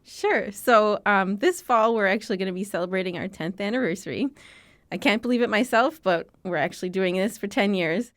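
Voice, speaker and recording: Woman, forties, from Chicago, animated storytelling, mid-range voice. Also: mid-range voice, fairly quick. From Chicago, USA. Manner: animated storyteller who voices the people she quotes. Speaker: guest, archives founder. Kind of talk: conversational interview storytelling.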